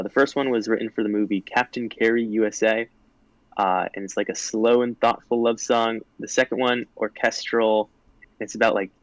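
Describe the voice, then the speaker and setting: A young man with a lowish voice, casually walking through songs and their history. His talk is loose and full of "like", and the recording sounds dry and close. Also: no room sound, poor audio. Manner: relaxed and chatty, lots of "like". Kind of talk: casual explaining on a live stream.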